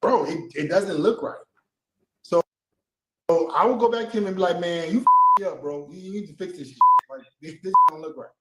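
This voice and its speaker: A man's voice: high voice, a little fast, noisy background. A man giving blunt, profane advice in casual slang, recorded with echoey room sound.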